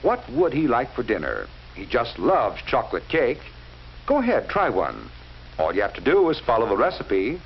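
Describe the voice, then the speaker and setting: A man narrating a 1940s educational film in a measured, instructive way, speaking directly to the viewer. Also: mid-range voice, huge pitch swings. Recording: dull lo-fi 1940s film soundtrack with faint hiss.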